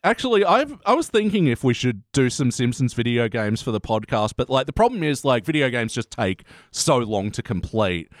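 Podcast host: Australian man, medium pitch, rambling casual delivery, very dry sound. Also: sing-song, moderate pace, hardly any pauses, no room sound. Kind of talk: casual TV review chat.

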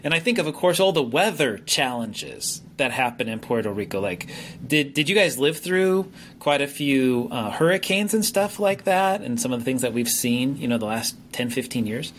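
A man's voice: mid-range voice, expressive, normal speed, a bit bright, slight background noise. A man hosting a podcast, friendly and chatty, often restarting his sentences. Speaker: podcast host. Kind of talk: casual interview chat.

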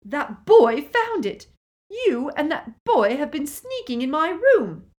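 A woman voicing a bitter, scornful older sister in an audiobook, with a sneering, forceful delivery. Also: medium pitch, wildly swooping pitch, a little slow, voice pushed up. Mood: furious.

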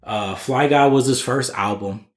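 A man's voice: medium pitch, a little slow. American guy on a music podcast, sweary and into it, roomy echo. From US East Coast. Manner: slangy, profane, enthusiastic. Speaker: podcast panelist. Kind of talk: casual music discussion. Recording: roomy, echoey room.

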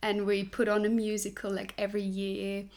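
A woman's voice: mid-range voice, monotone, moderate pace, darkish tone. A woman in her twenties from Switzerland, a guest talking casually in an interview, chatty with frequent laughs and filler words. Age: 20s. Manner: chatty, laughs often, many ums and likes.

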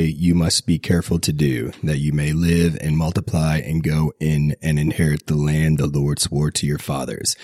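A very deep-voiced man reads scripture aloud for his podcast, his delivery flat and even. He is still getting over a cold, and he reads steadily, correcting his slips as he goes. The recording is dry and close, with no room sound. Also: no echo. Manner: steady reading with self-corrections. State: recovering from a cold. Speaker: podcast host reading scripture. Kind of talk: scripture reading.

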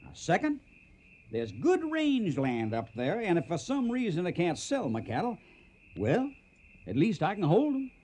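An actor playing a determined, plain-spoken Texas cattle boss with a folksy Western drawl in a radio drama. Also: male voice, high voice, huge pitch swings, a little slow, warm tone, breathy edge, full character voice. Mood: persuasive. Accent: American Western drawl. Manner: firm, decisive, folksy.

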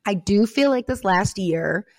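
A woman's voice: medium pitch, a little slow, no echo, studio quality. A middle-aged woman co-hosting a podcast, talking candidly and casually, acting out bits of remembered conversation as she goes. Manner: candid, animated, quotes imagined dialogue. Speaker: podcast co-host.

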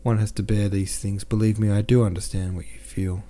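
A man reading the lines of a kindly, resigned young man in a novel, gentle and consoling. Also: on the low side, level tone, fairly quick, natural voice.

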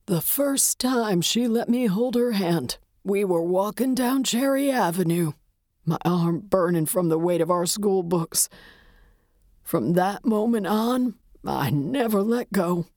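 A woman's voice: medium pitch, expressive, a little mellow, no room sound, clean studio sound, voice pushed up. A woman voicing a cracked, grief-stricken old widower. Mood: grieving, wistful. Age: older. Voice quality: cracked, breathy, whispery voice. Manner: halting, tearful, reminiscing.

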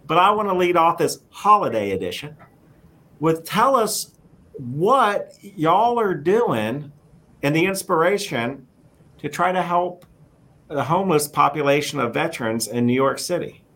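A man's voice: a little high, sing-song, unhurried, sharp tone. Guy hosting a podcast, folksy, trips over his words a bit. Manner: folksy, stumbling restarts. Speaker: podcast host. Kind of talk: podcast interview.

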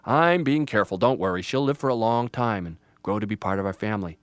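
A man's voice: lowish voice, expressive, fast, dry recording, natural voice. A man voicing a good-natured, patient father in a children's story. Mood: reassuring.

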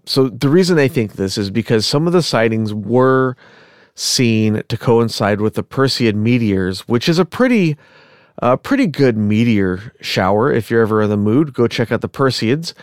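A man of ordinary pitch hosts a podcast while a little under the weather, clearing his throat between thoughts. He rambles in a chatty way, correcting himself and talking to his live chat. The sound is dry and close on the microphone. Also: dry recording. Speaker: podcast host. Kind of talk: casual storytelling podcast on unexplained history.

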